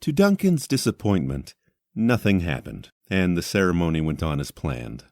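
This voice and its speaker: Very deep-voiced male fantasy narrator. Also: very animated, normal speed, a little mellow. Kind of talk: scripted fantasy story narration.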